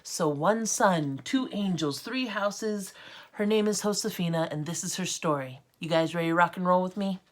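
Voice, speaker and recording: American lady teacher reading a picture book to kids, chatty and encouraging, voice a bit on the low side. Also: moderate pace. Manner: informal, encouraging, addresses children directly. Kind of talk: storybook read-aloud with chatty asides.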